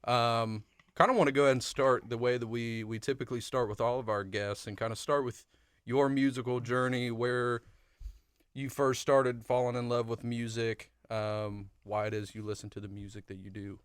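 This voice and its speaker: A young man hosts a podcast in easy, profane chat that jumps into loud excitement. His voice is smooth and clean, at a middle pitch. The studio mic sound is close and dry. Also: normal speed, no echo. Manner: energetic, swearing, bursts into loud laughter. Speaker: podcast co-host. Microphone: studio microphone.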